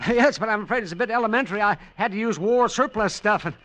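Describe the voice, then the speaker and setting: An actor playing a middle-aged, henpecked schoolteacher and amateur scientist, nervous and eager, in an old radio drama. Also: male voice, sits high, fast talker, a bit bright. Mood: eager, deferential. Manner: flustered, stammering, deferential. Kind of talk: 1940s-50s radio drama acting. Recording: noisy, crackly vintage radio recording.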